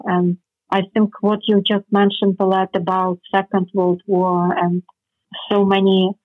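Woman guest with a Ukrainian accent, husky and flat-toned, earnest, heard over a thin phone line. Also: mid-range voice. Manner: earnest, slightly halting non-native phrasing. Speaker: interview guest, foundation representative. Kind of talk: earnest interview answers.

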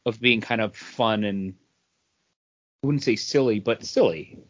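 A man co-hosting a comic book podcast, chatting casually and haltingly as he thinks aloud. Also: lowish voice. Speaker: podcast co-host reviewing comic books. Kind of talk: casual chat. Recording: dull, band-limited audio.

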